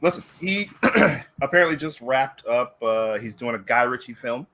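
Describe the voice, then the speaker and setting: A man with a medium-pitched voice talks casually on a movie panel. He sounds keen and tends to wander off into asides. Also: animated, moderate pace, bright, forceful tone, slight background noise. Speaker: panelist on a movie talk show. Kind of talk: casual rambling chat.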